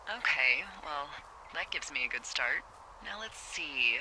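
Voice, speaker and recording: Wry female lookout boss, American, very bright forward voice, dry playful game dialogue over a faintly hissy radio. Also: deep voice, animated, unhurried, exaggerated voice, rough recording. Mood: teasing, curious. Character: wry, teasing female fire lookout supervisor. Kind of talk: naturalistic video game dialogue.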